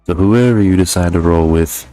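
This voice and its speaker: A man's voice: low-pitched, normal speed, warm tone, soft background music, low-quality recording. A man giving a casual, conversational video game review voice-over in slangy, sometimes profane language.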